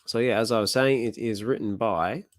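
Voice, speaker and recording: A man with a slightly hoarse voice hosting a comic reading stream, chatting casually and rambling with lots of fillers. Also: on the low side, normal speed, no room sound. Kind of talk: casual chat.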